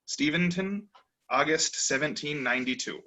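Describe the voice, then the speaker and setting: A man's voice: on the high side, normal speed, bright, forceful tone, low-quality recording. A man reading a formal, mock-humble period dedication as the author, in a dramatic reading over a video call.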